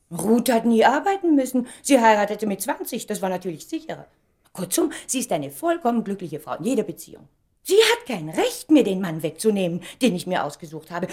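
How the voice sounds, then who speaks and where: Vain, theatrical young actress with sweeping intonation. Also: female voice, medium pitch, a little mellow. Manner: dramatic, self-important, indignant. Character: vain, theatrical, wounded stage star of twenty-nine. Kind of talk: German radio play acting.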